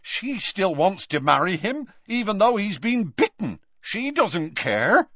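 A strained, pleading young woman, as a male reader puts her on. Her voice is pitched very high and pushed loud. Dry, somewhat dull recording. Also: sing-song, takes it slowly, husky, no room sound, exaggerated voice. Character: desperate young woman, lovestruck.

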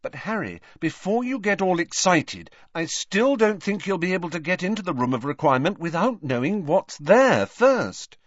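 A man's voice: high-pitched, very animated, moderate pace, few pauses, no room sound, highs cut off, voice pushed up. A man voicing a clever, bossy teenage witch in a storybook, precise, questioning and admonishing. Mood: stern.

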